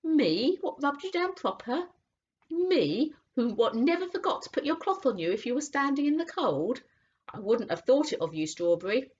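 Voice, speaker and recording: A woman doing a bluff, rough-spoken cabby in a storybook, loud, blunt and homely. The voice is pushed higher, husky, and the recording dull and lo-fi. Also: very animated, normal speed, heavily acted. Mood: hearty. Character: rough-spoken working-class London cabby, bluff and warm-hearted.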